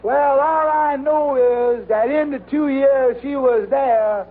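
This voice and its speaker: An actor playing a meek, deferential janitor in an old radio sitcom, hesitant and drawling. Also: male voice, very high-pitched, slow, exaggerated voice. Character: slow, meek, deferential male janitor, comic witness. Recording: tinny, noisy old radio, studio audience.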